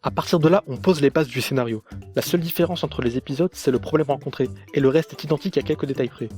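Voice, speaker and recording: Male reviewer, slightly high voice, brisk sarcastic scripted commentary over light music. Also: a little mellow. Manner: sarcastic, critical. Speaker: video reviewer.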